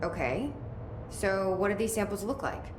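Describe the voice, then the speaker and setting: A woman's voice: medium pitch, expressive, moderate pace, noisy background, lightly acted. A woman acting as a composed, dry, matter-of-fact investigator in a video game, with an understated manner. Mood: cool, questioning. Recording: roomy echo, music underneath.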